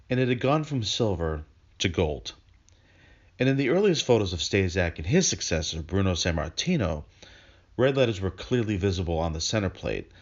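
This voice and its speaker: Low-voiced guy doing an earnest review narration, kinda wordy, slightly dull lofi audio. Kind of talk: scripted review narration with enthusiastic unboxing remarks.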